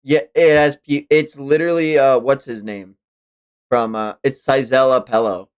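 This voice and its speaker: A man's voice: mid-range voice, steady tone, moderate pace, smooth. A man hosting a live read-along, reacting casually and expressively, with exclamations and some swearing. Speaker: livestream host reading manga aloud.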